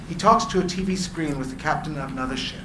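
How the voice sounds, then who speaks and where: A man reading screenplay stage directions as narrator, with deliberate descriptive phrasing. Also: on the high side. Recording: echoey room, clear background noise.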